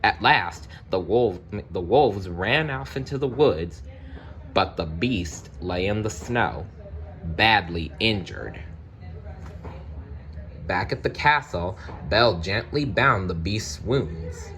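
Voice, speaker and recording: Low-voiced man reading a storybook, very unhurried. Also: expressive, a bit bright, slight background noise. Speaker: storybook reader. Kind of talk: scripted storybook narration read aloud.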